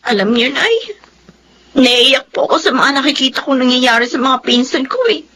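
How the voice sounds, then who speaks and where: Bright, high-voiced Filipino daughter. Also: female voice, expressive, normal speed, a little hiss or hum. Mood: saddened. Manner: polite, concerned. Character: earnest, respectful working young adult daughter. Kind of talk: Filipino radio drama acting.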